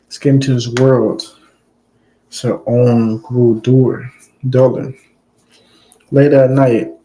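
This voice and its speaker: A man's voice: medium pitch, mellow, low-quality recording. A streamer, reading a story aloud and breaking off for loose, joking asides to his chat. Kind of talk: casual commentary between read passages.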